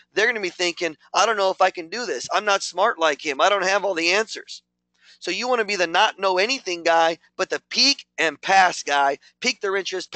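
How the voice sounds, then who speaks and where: High-pitched, hyped man coaching a sales team. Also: pushed and bright, no room sound. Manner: punchy, repetitive, hyped coaching. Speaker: network marketing team leader. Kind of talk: motivational training talk.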